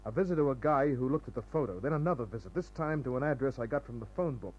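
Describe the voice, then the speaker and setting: Man playing a husky, hardboiled radio detective. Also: mid-range voice, lively intonation, fast, darkish tone, natural voice. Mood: wry, terse. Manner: terse, clipped, wry. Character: wry, hardboiled male private detective, cool-headed. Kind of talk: radio noir narration and dialogue. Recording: muffled old radio recording.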